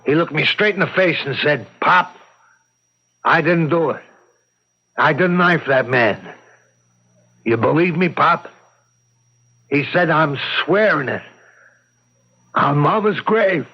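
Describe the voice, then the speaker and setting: Husky, desperate pleading father. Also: a little high, animated, heavily acted. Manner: pleading, agitated, halting repetitions. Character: desperate working-class father, fuel truck driver, pleading for his son. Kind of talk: old-time radio drama acting. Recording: dull lo-fi old radio recording.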